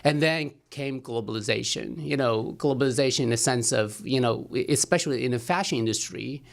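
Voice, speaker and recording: A man from China, a professor guest, speaking in an interview with many halting restarts. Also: mid-range voice, creaky. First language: Chinese. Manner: hesitant, many restarts and fillers. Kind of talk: studio interview.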